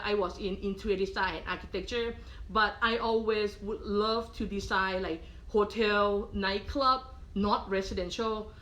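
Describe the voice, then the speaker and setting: A woman's voice: mid-range voice, fairly bright tone, low-quality recording. A middle-aged woman from Thailand with a Thai accent, a culinary professor leading a live cooking demonstration and checking in with listeners often. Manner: patient, checks in with frequent "okay?". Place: kitchen.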